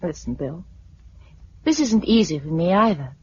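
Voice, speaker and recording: A resolute young british lady in an old radio play, bit husky, muffled recording. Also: lowish voice, sing-song, moderate pace, darkish tone, heavily acted. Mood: earnest, resolute. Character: Gisela, pragmatic, resolute young sighted woman in love. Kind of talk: 1950s British radio drama acting.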